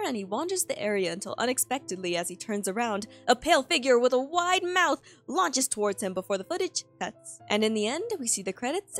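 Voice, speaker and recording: Female video essay host, higher register, informal horror recap delivery over a music bed. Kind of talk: scripted recap narration with casual asides. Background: piano and eerie background music.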